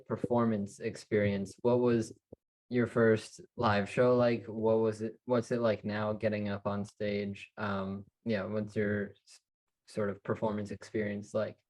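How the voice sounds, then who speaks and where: A young man hosting a casual interview with an even, flat delivery. He asks relaxed questions, padded with "you know" and "like", in a laid-back tone. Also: on the low side, low-quality recording. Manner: relaxed, filler-heavy questions. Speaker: interview host.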